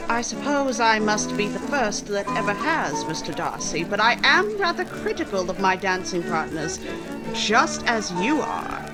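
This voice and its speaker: An actress playing a cool, witty, self-possessed young gentlewoman in 1940s radio drama style. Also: medium pitch, sing-song, unhurried, loud music behind the voice, poor audio. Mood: cool, cutting.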